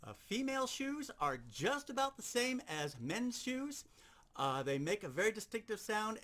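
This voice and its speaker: A man with a high-pitched, very animated voice gives a hands-on lesson. He explains each step informally as an instructor would. Loud noise and clatter sit behind his voice. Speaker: sound effects instructor. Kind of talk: instructional demonstration talk.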